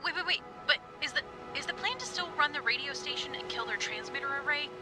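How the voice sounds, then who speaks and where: High-voiced chatty girl on walkie-talkie. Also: animated, fast, over music. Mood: exasperated. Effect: walkie-talkie radio. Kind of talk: naturalistic video game acting.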